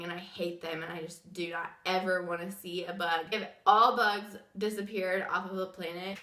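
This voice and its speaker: Woman, young adult, sick and wheezy, chatty rambling vlog talk, sharp tone, roomy echo. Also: medium pitch. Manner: chatty, rambling with many fillers. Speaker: vlogger. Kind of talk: casual story time chat.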